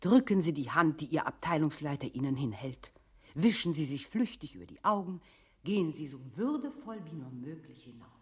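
An actress in a radio play voicing a female instructor who coolly guides a timid employee with measured imperatives. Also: low-pitched, expressive, husky, exaggerated voice. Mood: procedural. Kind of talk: scripted radio play narration.

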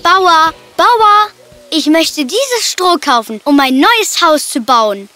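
Carefree piglet brother played by a woman, high and breezy, cocky cartoon fairy-tale delivery over music. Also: lively intonation, slow pace, pushed and bright, heavily acted. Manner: boastful, breezy. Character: cocky, carefree young male pig, eldest of three brothers.

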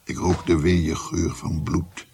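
An actor playing a shaken old grandfather who recounts a haunting memory in a dramatic, halting radio play narration. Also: deep voice, level tone, crowd noise. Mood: grave, haunted. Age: older. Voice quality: husky, gravelly.

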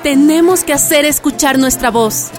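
A friendly, eager woman delegate from Ecuador, played by an actress in a radio play. She urges her companions along in a high voice, brisk and comradely, over clearly audible music. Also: natural voice. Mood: welcoming, eager. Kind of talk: radio drama acting.

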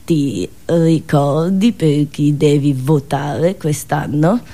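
A woman's voice: low-pitched, slow pace, mellow, pitched below the performer's own voice, exaggerated voice. Woman doing a village priest in a confessional, sly n sure of himself, confidential insinuating talk, radio tale. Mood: sly, coaxing.

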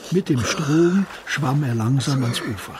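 Male radio drama narrator, mid-pitched. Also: lively intonation, noisy background. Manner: measured storytelling.

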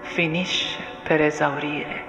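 A woman performing a solemn, deliberate dramatic monologue of literary text, often sinking into breathy whispers. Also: low voice, steady tone. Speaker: stage actress performing a monologue. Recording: echoey, long reverb, music underneath. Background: music and soundscape.

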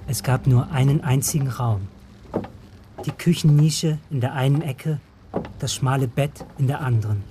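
A man's voice: mid-range voice, normal speed, noisy background, lightly acted. An actor playing a man who recalls a secretive boyhood friendship in reflective, literary first-person radio drama narration. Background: loud music.

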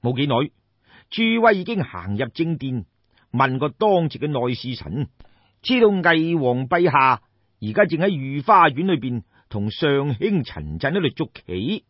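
A man's voice: medium pitch, sing-song, unhurried, breathy edge. A man with a Cantonese accent telling a story in a colloquial, exclamatory, theatrical audiobook style. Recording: dull, roomy lo-fi recording.

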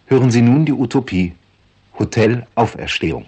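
A man giving a measured, lecturing scripted introduction to a radio play. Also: mid-range voice, animated, warm tone, husky. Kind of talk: scripted explanatory narration.

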